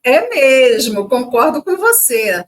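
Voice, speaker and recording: A woman's voice: high-pitched, sing-song, takes it slowly, fairly bright tone. A woman co-hosting a casual educational chat, reading facts and adding playful asides. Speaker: co-host.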